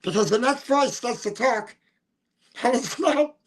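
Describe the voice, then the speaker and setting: A man with a deep, gravelly voice, rambling through a casual monologue as host of a smoke session and wandering from one topic to the next. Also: expressive, unhurried. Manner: rambling, wanders off topic, breaks into off-key singing.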